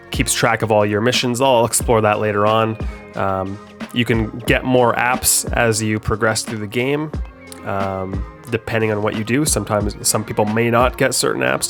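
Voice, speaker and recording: A man's voice: on the low side, a bit bright. A young man with a stuffed-up voice from a head cold, casually explaining the game he made as he plays it, with frequent ums and uhs. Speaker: game developer. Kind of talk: gameplay commentary.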